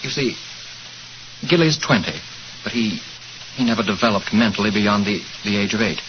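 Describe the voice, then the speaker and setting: A troubled young man, voiced by a man who speaks earnestly, with small hesitations. The recording is a dull, lo-fi old radio broadcast with a clear hiss. His pitch sits in the middle range. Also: moderate pace, natural voice. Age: young adult. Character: young man, troubled cousin hoping to marry. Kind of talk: 1940s radio acting.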